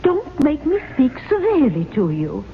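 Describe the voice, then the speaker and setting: An actress playing a genteel, doting ghost wife who coaxes and chides sweetly in a theatrical 1970s radio drama manner. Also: female voice, sits high, wildly swooping pitch, narrow, tinny audio. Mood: gently chiding. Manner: theatrical, sighing, sweetly coaxing and deliberate. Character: genteel, doting, gently chiding old English ghost wife. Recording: thin, noisy old radio broadcast.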